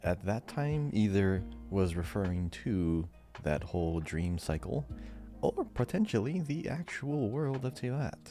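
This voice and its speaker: A male podcast host with a very low, mellow voice reads out quiz questions in a casual way. His delivery swings noticeably in pitch while staying deliberate, with some music playing behind him. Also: sing-song.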